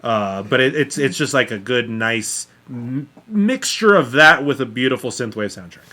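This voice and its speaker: Male co-host for casual film chat: bright, punchy voice, animated and opinionated. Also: mid-range voice, normal speed. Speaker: podcast co-host. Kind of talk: casual movie review chat.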